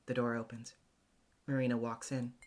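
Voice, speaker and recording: A man narrating a visual novel in the first person, acting it out in an introspective, hesitant, deliberate way. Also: mid-range voice, level tone, darkish tone. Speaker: voice actor, narrator.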